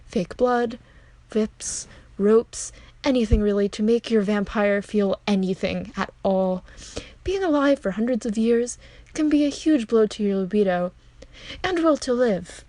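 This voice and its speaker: A woman's voice: mid-range voice, measured pace, warm tone, dry recording, natural voice. A woman playing a breezy, folksy call-in host giving matter-of-fact advice in a comic radio drama. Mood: breezy, advising. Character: breezy female radio agony aunt for vampire lovers.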